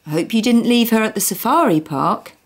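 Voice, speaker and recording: A woman's voice: on the high side, animated, a little fast, breathy edge, pitched above the performer's own voice. A woman reading as a wry, kindly mum. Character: wry, kindly mother of a big family.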